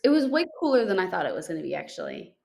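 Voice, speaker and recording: A young woman co-hosts a casual podcast and tells a story in a chatty, rambling way, full of "like" and restarts. Her voice sits a little low. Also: sing-song, very fast, vocal fry, a little mellow. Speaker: podcast co-host. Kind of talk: casual chat.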